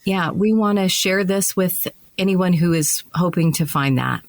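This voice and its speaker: A woman's voice: lowish voice, unhurried. Playful woman in her fifties, podcast co-host. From Montana, USA. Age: 50s. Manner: playful, self-deprecating, animated. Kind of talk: casual podcast chat.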